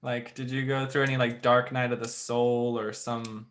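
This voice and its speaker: A young man co-hosts a podcast in a laid-back way, full of "like" and casual swearing. His voice sits at an ordinary middle pitch. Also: little pitch movement, normal speed, a bit bright. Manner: casual, lots of "like", swears freely. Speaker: podcast co-host.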